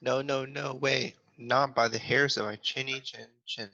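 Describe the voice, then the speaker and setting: A man's voice: mid-range voice, steady tone, unhurried, sharp tone. A man playing little storybook pig brothers in an amateur script read over a video call. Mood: frightened. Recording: muffled video call audio.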